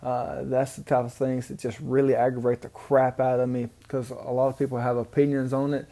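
A man with a Mississippi Southern drawl rambles on in a casual solo podcast, recorded on an amateur home mic. His delivery is flat and halting, full of ums and restarts. His voice is mellow and dark, at a middle pitch. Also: creaky. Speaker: podcast host. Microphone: home microphone, amateur setup.

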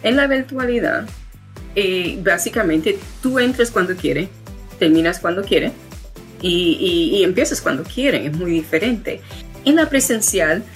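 A woman from the United States with an American accent, a guest in an interview who talks with many fillers and restarts. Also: medium pitch. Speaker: guest, education founder. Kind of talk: interview conversation.

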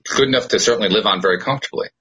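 A middle-aged man with a low, husky voice who hosts a podcast. He talks in an earnest, reflective way, telling his own story across a relaxed conversation. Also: racing, rough recording. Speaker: podcast host. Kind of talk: casual conversation.

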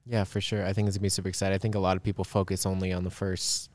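A man in his twenties co-hosting a podcast, talking in a relaxed, rambling way with lots of fillers. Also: low voice, very fast. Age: 20s. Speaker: podcast co-host. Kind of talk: casual chat.

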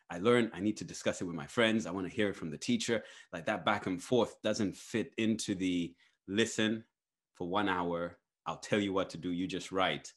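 Very deep-voiced man from Zambia. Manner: thoughtful, encouraging, long winding questions. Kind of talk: casual interview chat.

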